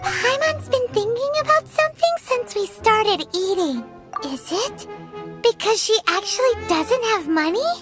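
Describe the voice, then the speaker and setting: A woman voicing a tiny, excitable, childlike female fairy companion in a video game. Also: very high voice, measured pace, over loud music. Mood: curious, puzzled. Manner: childish, talks about self in third person.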